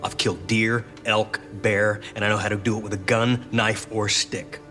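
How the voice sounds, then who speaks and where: Man as courtroom villain in audio drama: low voice, defiant, deliberate hunter's swagger, music bed. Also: level tone, bright, forceful tone. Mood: defiant, boastful. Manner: boastful, contemptuous, deliberate. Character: defiant, cold-blooded male murder defendant and hunter. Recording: music behind the voice.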